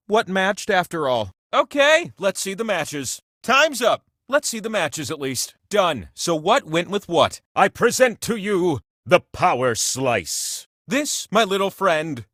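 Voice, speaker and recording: A man's voice: high voice, fairly bright tone, no echo, studio quality, full character voice. A man voicing a whimsical, grandiose godlike trivia wheel who hosts a video game with gushing, mock-grand playfulness. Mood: grandiose, teasing. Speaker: game show host. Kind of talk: theatrical scripted game host lines.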